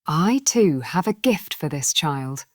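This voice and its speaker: A woman storyteller acts out a vengeful dark fairy from a storybook. She is imperious and menacing, with a low voice that swings dramatically in pitch. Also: animated, slow, dry recording, clean studio sound, full character voice. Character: powerful dark female fairy, angry, vengeful villain.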